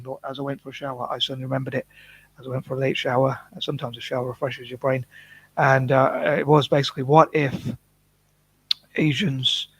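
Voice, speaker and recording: A man talking in a rambling, self-conscious vlog monologue, from the UK, monotone and full of restarts and fillers. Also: medium pitch, slightly rough, rough recording. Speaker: vlogger.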